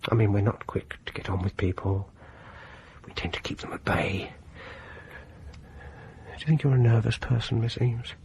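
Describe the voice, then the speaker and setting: A prim man in a radio drama, awkward and oversolicitous. He talks in polite, halting phrases with a fussy, slightly intrusive manner. A faint noise sits behind the voice. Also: fairly low pitch, gravelly, a little mellow. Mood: insinuating. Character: fussy, awkward, solicitous male music enthusiast with an intrusive streak.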